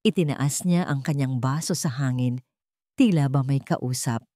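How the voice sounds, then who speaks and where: A woman's voice: very deep voice, moderate pace, mellow, no room sound, studio quality. A woman narrating a dramatic romance story from a script.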